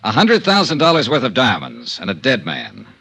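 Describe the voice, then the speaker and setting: A wry American investigator from a vintage noir radio serial. His pitch swings freely as he wisecracks and flirts, sardonic and sure of himself. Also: wildly swooping pitch, normal speed, fairly bright tone, breathy edge, heavily acted. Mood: sardonic, flirtatious. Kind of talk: 1950s noir radio acting and narration.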